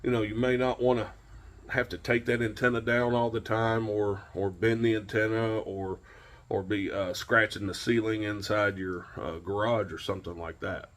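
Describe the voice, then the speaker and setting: A man's voice: on the low side, steady tone, moderate pace, fairly bright tone. A man in his forties giving an informal, plain-spoken instructional demonstration outdoors, repeating key points for emphasis. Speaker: host of CB radio tips videos. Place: outdoors in a home driveway beside a pickup truck.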